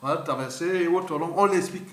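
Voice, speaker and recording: A man's voice: fairly high pitch, brisk. A man, giving an unscripted political monologue that rambles, repeats itself and presses its points hard. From Republic of the Congo. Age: 50s. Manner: rambling, repetitive, emphatic with restarts. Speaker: political commentator. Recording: roomy echoey room.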